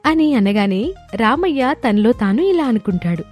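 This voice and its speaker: A woman's voice: on the high side, sing-song, moderate pace, a little mellow. A woman reading a scripted moral story as its narrator. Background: background music.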